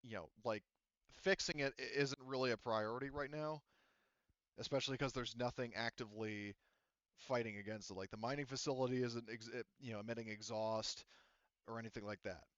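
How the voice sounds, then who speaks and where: Rambling, smooth-voiced male game master. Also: medium pitch, steady tone, fairly quick, a bit bright, no echo, highs cut off. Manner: rambling, filler-heavy, profane. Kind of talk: narrating a tabletop game.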